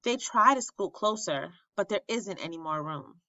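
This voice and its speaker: Bright-voiced woman reading a children's story. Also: medium pitch, expressive, normal speed. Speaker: storybook reader at an elementary school. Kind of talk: expressive read-aloud of a children's story. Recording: roomy, slightly dull lo-fi recording.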